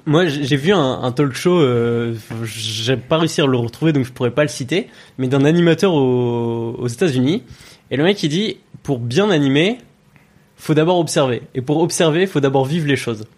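A man's voice: medium pitch, moderate pace, a little mellow. A man hosting a podcast, asking his guest questions in a loose, chatty way with lots of filler words. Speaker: podcast host. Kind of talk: casual podcast interview.

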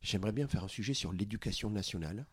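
Husky, low-voiced French man. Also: no echo. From France. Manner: earnest, searching for words with many pauses. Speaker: podcast founder, interview guest. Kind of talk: casual interview chat.

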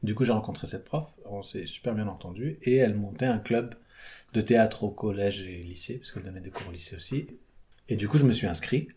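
A man's voice: on the low side, brisk, a little mellow. A young man from France, chatting in an interview, hesitant with many fillers and restarts. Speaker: guest, theatre performer. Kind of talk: casual interview chat.

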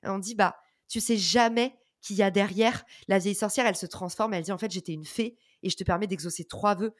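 A French-speaking woman giving energetic, informal advice in an interview, with many fillers and slang. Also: mid-range voice, a little fast, dry recording, studio quality. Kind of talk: casual motivational advice.

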